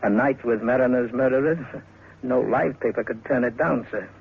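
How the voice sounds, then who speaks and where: An actor playing an eager, deferential journalist in an old radio drama, polite and prone to stammering. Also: male voice, mid-range voice, normal speed, husky. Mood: eager salesmanship. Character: eager, deferential male freelance journalist seeking a stunt. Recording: tinny, noisy old radio recording.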